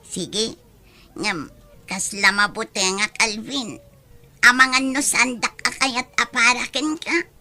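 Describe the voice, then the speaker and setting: A woman's voice: fairly high pitch, slow pace, sharp tone, full character voice. A woman acting a shy, polite and hesitant young girlfriend in an Ilocano radio drama. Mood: shy, worried.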